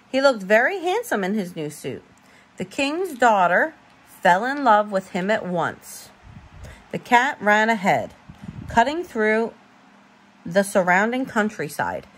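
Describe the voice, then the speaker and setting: Woman, parent at storytime, animated intonation, a touch husky, homely and informal read-aloud. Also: medium pitch, slow. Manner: expressive, informal, stumbles over words. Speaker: parent reading a bedtime story.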